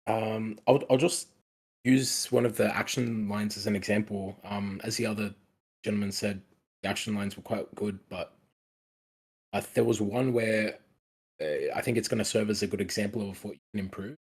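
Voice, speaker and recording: A man giving casual script feedback in an online meeting in a polite, tentative, halting way. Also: on the low side, fairly even intonation, a little mellow, slightly rough. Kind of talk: casual spoken critique. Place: indoors on an online meeting call.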